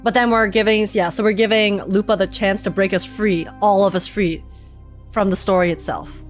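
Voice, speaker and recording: Excitable woman streamer chatting over game music. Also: mid-range voice, normal speed. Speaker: streamer reacting to a video game story. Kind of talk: casual gaming livestream commentary.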